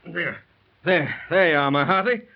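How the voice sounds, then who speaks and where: An actor playing a bold, hearty young husband who takes charge, with commanding and exclamatory delivery in a 1930s radio drama. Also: male voice, fairly high pitch, normal speed, close to an everyday voice. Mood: commanding, jaunty. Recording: muffled, noisy 1930s radio recording.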